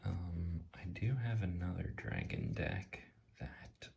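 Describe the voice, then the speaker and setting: A soft-spoken ASMR host giving a casual, hushed, unscripted commentary while flipping through a card deck. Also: low-pitched, slow pace, gravelly, highs cut off, slight background noise. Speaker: tarot deck reviewer, video host.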